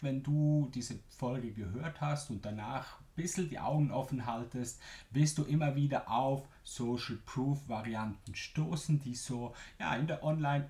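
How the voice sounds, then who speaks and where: A young man from Switzerland with a Swiss German accent, hosting a solo business podcast and explaining ideas with lively emphasis and occasional fillers. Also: mid-range voice. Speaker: podcast host.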